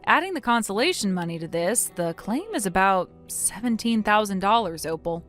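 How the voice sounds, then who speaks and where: A woman's voice: mid-range voice, lively intonation, normal speed, fairly bright tone, soft background music, natural voice. A woman voicing a wronged bride in a melodramatic story, stern and businesslike, scolding and explaining firmly. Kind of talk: acted dialogue in a melodramatic story.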